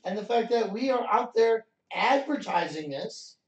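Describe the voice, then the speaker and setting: A man's voice: sits high, expressive, measured pace, recorded from a distance, muffled recording. Brief: male co-host, agreeable and self-assured, away from the mic in an echoey room. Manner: affirming, keeps saying "right?". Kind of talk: casual chat about news clips.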